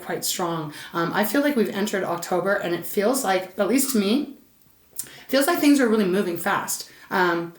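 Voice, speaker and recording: A woman's voice: medium pitch, reverberant. A woman giving a casual tarot reading, chatty and soothing, her voice a little scratchy and stuffed up. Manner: chatty, soothing, addresses listener as Saggy. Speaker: tarot reader.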